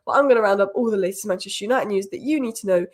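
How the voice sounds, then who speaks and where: A woman hosting a football news roundup, a little croaky from a cold, giving opinionated fan commentary. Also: mid-range voice, rapid-fire. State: has a cold, slightly croaky. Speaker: football channel host. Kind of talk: fast solo news roundup.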